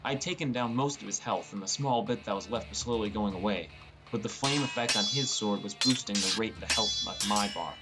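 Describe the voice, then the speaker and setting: A man with a fairly low voice narrates a first-person fantasy story for an audiobook. Plucked guitar music plays clearly behind his scripted reading. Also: fairly quick. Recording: music bed under voice. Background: prominent plucked guitar music.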